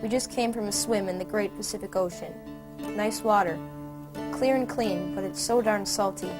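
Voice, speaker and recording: A teenage girl reading an old letter aloud in an even, steady way. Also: medium pitch, normal speed, over music. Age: teens. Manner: even, read-aloud delivery. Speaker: student filmmaker.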